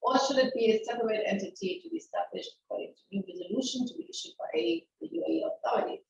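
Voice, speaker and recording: A middle-aged woman with a German accent leads a conversational legal webinar in a frank, lively manner, restarting her sentences and tossing out rhetorical questions. Her voice sits fairly high. It comes through a video call, muffled and set back in a strongly echoing room. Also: fast talker, gravelly. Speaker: webinar presenter, maritime lawyer. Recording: very echoey, distant, muffled webinar audio.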